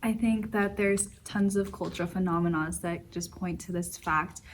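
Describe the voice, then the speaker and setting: Thoughtful teen girl, lower voice. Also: steady tone, normal speed, slight background noise. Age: teens. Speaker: student. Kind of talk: opinion statement in a discussion.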